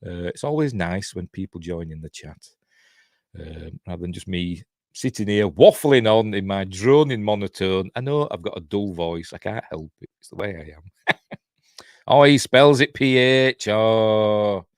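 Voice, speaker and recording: A man's voice: fairly low pitch, animated, a little slow, no room sound, clean studio sound. A middle-aged man with a Yorkshire accent, hosting a casual livestream in a rambling, chatty way with self-deprecating asides. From Yorkshire, England. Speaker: channel host reviewing TV.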